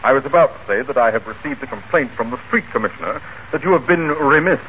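An actor playing a pompous, glad-handing mayor with a formal, officious manner in a 1940s radio comedy. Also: male voice, medium pitch, expressive, fast, lightly acted. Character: pompous, glad-handing small-town mayor, adult man. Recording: noisy, tinny old radio recording.

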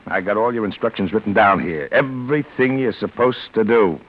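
An actor playing a bossy, shady American crook in vintage radio drama, brusque and full of gangster slang. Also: male voice, fairly low pitch, fairly quick, lightly acted. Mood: brisk, bossy. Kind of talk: 1940s-50s radio comedy-adventure acting. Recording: dull old radio recording, faint noise.

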